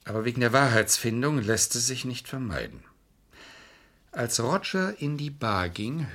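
An actor playing an insistent, calculating lawyer in a vintage crime radio play, speaking formally and pressing his points in a measured way. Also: mid-range voice, a bit bright, slightly rough, full character voice.

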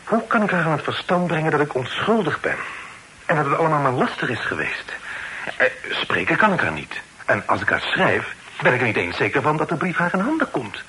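An actor playing an earnest, troubled young gentleman in love, speaking in a hesitant, plaintive way in a period radio play. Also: a little high, big pitch swings, fairly quick, rough recording. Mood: exasperated, plaintive. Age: young adult. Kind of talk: radio drama acting, period dialogue. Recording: hissy old radio play recording.

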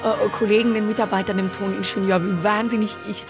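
An actress playing an effusive performer who gushes emotional, halting thanks in a radio play. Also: female voice, mid-range voice, steady tone, loud music behind the voice, natural voice. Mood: moved, gushing. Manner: gushing, emotional, hesitant.

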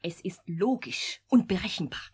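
Very breathy, solemn artificial young woman. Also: fairly low pitch, animated, moderate pace, darkish tone. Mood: coldly logical. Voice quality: breathy, often near whisper. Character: young artificial woman, coldly logical yet anguished. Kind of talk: German radio drama acting.

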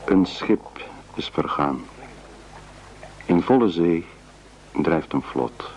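A man narrating a scripted radio play in a deliberate, measured way. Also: sits low, lively intonation, vocal fry. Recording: dull, noisy old radio recording.

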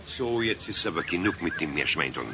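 An actor playing a good-natured, patient older master carpenter with a Bavarian accent, homely and indulgent, in a children's radio play. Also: male voice, low-pitched, lively intonation, brisk. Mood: mildly impatient. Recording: dull, slightly noisy vintage radio recording.

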